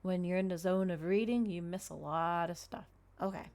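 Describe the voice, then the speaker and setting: A woman's voice: lowish voice, moderate pace. A woman hosting an ASMR livestream, chatting casually and reading aloud in a soothing way. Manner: soft-spoken, soothing when reading. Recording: clear background noise, fireplace crackle ambience. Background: fireplace crackles and quiet ambient music.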